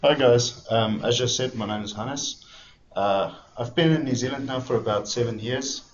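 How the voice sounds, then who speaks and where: A man with a South African accent giving a friendly, informal webinar presentation to developers, talking things through step by step. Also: normal speed. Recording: dull lo-fi webinar audio with faint rumble.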